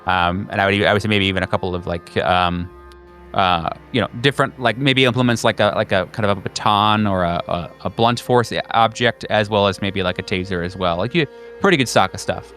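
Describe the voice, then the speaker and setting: A man narrating an improvised tabletop horror game as game master, halting and conversational in his descriptions. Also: fairly low pitch, a bit bright.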